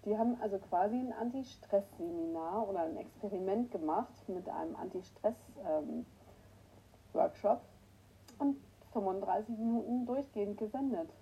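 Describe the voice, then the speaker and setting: A woman with a cold hosting a casual morning livestream, greeting her viewers by name and clearing her throat often. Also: mid-range voice, normal speed, mellow, dull audio, a little hiss or hum. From northern Germany. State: has a cold, hoarse at times. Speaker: livestream host. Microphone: new microphone that is not working right.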